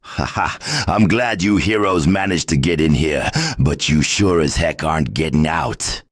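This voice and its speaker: A man's voice: extremely low pitch, a little slow, dry recording. A man voicing a gloating, taunting swordsman villain in a video game. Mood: gloating menace. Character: gloating, menacing male sword-wielding villain.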